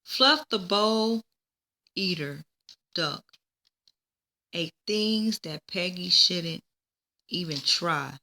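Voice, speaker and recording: Slow, bright-voiced woman reading to children. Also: fairly low pitch, expressive. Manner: slow, deliberate reading with stumbles and repeats. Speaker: teacher reading a book aloud. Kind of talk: children's read-aloud narration.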